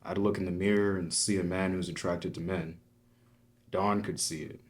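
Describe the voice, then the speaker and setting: An actor reading the part of a guilt-ridden man confessing quietly at a screenplay table read. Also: deep voice, fairly even intonation, moderate pace, lightly acted. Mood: guilty, confessional.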